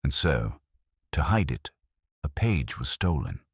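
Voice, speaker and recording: A very low-voiced, mild police inspector played by a male narrator, calmly laying out his case with gentle, deliberate point. The voice is husky, recorded dry and close, in the manner of a detective audiobook. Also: no echo, full character voice. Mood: measured, revealing. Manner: measured, gently pointed speechmaking. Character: mild, calm male police inspector delivering quiet reveals.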